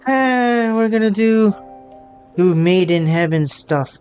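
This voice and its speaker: A man streaming a story game, reading dialogue aloud and adding halting, muttered asides. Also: high voice, darkish tone, clear, resonant tone. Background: game music.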